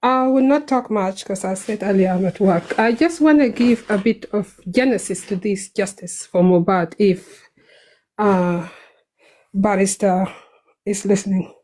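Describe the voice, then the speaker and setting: A woman with a South Sudanese accent talks in a casual online audio chat. She speaks earnestly and a little rushed, in a voice of ordinary middle pitch. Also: darkish tone. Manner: hurried, earnest speaking at work. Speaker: online group member.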